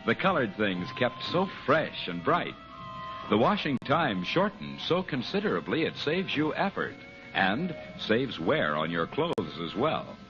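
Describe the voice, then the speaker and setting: Male American radio announcer, lowish voice with lively swoops, persuasive vintage ad read, music behind. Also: a little slow. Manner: polished, persuasive, emphatic. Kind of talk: 1930s-40s radio commercial reading. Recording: muffled old radio broadcast recording.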